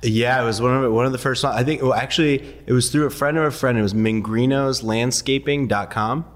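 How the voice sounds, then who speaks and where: A man raised partly in Iran, first language Farsi, telling his story as a guest in a relaxed, laughing way with many ums. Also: medium pitch, a little fast, long reverb tail. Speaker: guest, investor. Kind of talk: casual interview storytelling.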